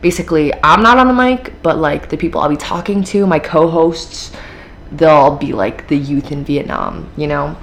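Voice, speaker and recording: This is a teenage woman with an American accent, chatting casually on her own podcast. Her voice sits low for a young woman, and she rambles with fillers in a friendly, upbeat way. A faint noise hums under the recording. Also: lively intonation, moderate pace, vocal fry. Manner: chatty, filler-heavy, upbeat with frequent laughs. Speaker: podcast host. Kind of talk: casual solo podcast talk.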